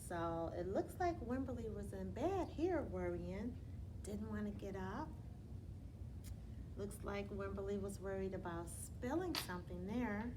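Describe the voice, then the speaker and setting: Animated, high-voiced woman reading to children. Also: noisy background. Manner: expressive, asks listeners questions, teacherly. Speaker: elementary school storyteller reading to students. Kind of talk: read-aloud children's bedtime story with asides.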